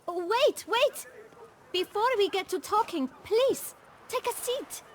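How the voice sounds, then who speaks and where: Woman as very high-pitched cheeky street boy. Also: expressive, slow, slight background noise, heavily acted. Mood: pleading, keen. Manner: glib, eager, mock-polite. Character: cheeky young bootblack boy, wily street hustler. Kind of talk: video game cutscene acting.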